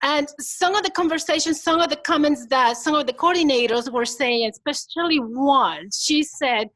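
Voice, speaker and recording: A woman's voice: high-pitched, moderate pace. A woman with a Minnesotan accent giving a conference presentation, chatty and engaging, asking the audience rhetorical questions. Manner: chatty, acts out quotes in lively voices.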